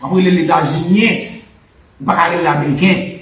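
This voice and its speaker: A man's voice: on the high side, moderate pace, roomy sound. A Haitian man with a Haitian Creole accent, hosting a live political and history talk with a fervent, repetitive, preaching cadence. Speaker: channel host.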